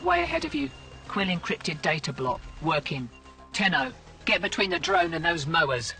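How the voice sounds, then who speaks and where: An actress playing a cocky, slangy resistance field agent in a video game. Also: female voice, fairly low pitch, sing-song, moderate pace, bright, forceful tone, recorded from a distance, faint music behind, exaggerated voice. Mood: brisk, confident. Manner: brash, slangy. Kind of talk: video game mission dialogue.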